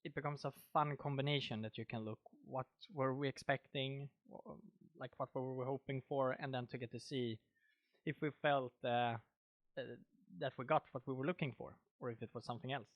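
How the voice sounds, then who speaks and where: A man with a Swedish accent hosting a casual fantasy podcast, chatty and quick to laugh. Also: mid-range voice, muffled recording. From Sweden. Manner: relaxed, chatty, laughs easily. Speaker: podcast host. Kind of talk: casual chat.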